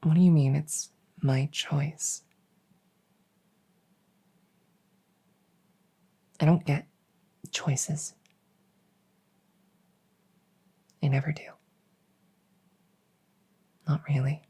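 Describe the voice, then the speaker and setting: A woman's voice: low voice, steady tone, warm tone, slightly rough, full character voice. A woman playing a world-weary young princess in an intimate audio roleplay, speaking hesitantly and confidingly, sometimes close to a whisper. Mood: hurt, resigned. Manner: hesitant, halting, confiding, with trailing pauses.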